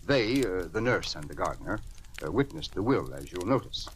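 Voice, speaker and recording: A man's voice: low-pitched, big pitch swings, normal speed. An actor playing an earnest, troubled older male judge with a hesitant, formal manner in a 1940s radio drama. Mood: concerned, earnest. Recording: noisy old radio recording.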